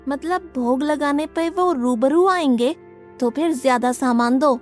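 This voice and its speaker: High-voiced naive young disciple boy, played by a woman. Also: measured pace, darkish tone, soft background music. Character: naive, gluttonous, blunt young male ashram disciple. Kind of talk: animated moral story dialogue.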